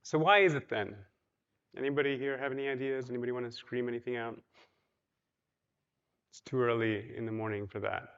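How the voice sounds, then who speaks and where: A man's voice: mid-range voice, fairly even intonation, fairly quick, pauses often, a bit bright, clean tone, highs cut off. A man from Poland giving a casual, chatty conference talk, with many ums and you-knows, and questions put to the audience. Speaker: conference speaker. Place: large hotel ballroom.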